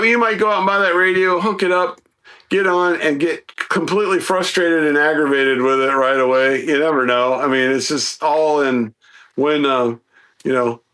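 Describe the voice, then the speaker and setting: A man chatting casually as a podcast guest about his hobby, telling anecdotes in a folksy, animated, rambling way. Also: fairly high pitch. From United States, Southeastern Virginia.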